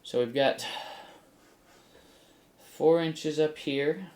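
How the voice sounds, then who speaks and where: A middle-aged man casually explaining a hands-on build, halting as he thinks aloud, in a small home room. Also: on the high side, fairly even intonation, a little mellow. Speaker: host of a DIY flight simulator build channel. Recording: faint background room noise.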